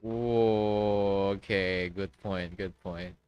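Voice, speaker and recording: A man's voice: deep voice, steady tone, drawn-out words, clean tone, no room sound, dull audio, faint music behind. A man reacting casually on a game livestream, making informal asides as he plays.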